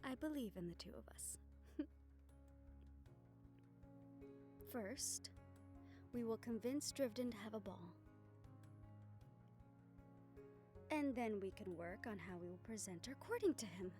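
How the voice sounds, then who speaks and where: A woman playing an affectionate, scheming young noblewoman in an intimate audio roleplay, speaking formally and measuredly with thoughtful pauses. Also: on the high side, lively intonation, dark tone, close to an everyday voice. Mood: tender, teasing. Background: background music.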